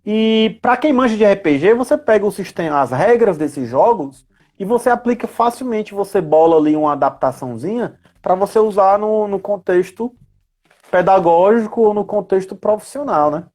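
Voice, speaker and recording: A man's voice: high-pitched, animated, moderate pace, darkish tone. A man with a Brazilian accent speaking as a guest expert in a casual live chat, explaining didactically with frequent tag questions. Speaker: guest expert on tabletop role-playing games.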